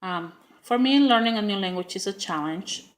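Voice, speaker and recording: A woman's voice: medium pitch, animated, normal speed, rough recording. A middle-aged woman with a Spanish accent giving a prepared class speech, earnest and with frequent ums and uhs.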